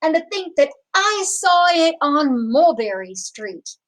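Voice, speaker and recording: A woman reading a children's picture book aloud expressively, with deliberate, dramatic phrasing. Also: high-pitched, big pitch swings, fairly bright tone. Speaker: storybook reader.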